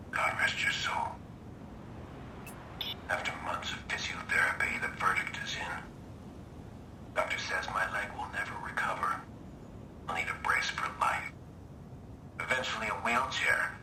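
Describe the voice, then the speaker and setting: Scheming veteran hacker for a game, low breathy voice, bitter and deliberate, echoey audio-log sound. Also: male voice. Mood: knowing, ominous, then bitter, defiant. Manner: deliberate, brooding, disdainful.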